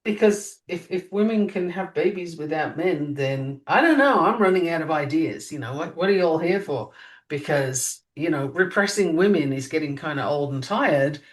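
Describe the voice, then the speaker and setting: A woman co-hosting a podcast in casual chat, musing and lively, her pitch jumping up on emphatic questions. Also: normal speed. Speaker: podcast co-host. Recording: voice a bit distant from mic.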